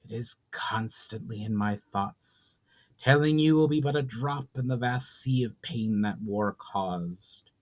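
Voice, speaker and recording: A man voicing an ancient, weary sorceress in a storybook reading, speaking slowly and solemnly with deep sorrow. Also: close to an everyday voice. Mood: sorrowful, solemn. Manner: slow, pained, solemn speech.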